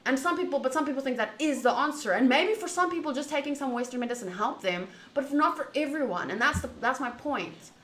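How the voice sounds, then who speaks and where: Bright, high-voiced rambling woman streamer. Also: fast, few pauses. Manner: animated, opinionated, wandering, often rephrasing mid-thought. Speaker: streamer playing a visual novel. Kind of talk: casual rambling chat with viewers during a game playthrough. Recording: echoey room, faint background noise.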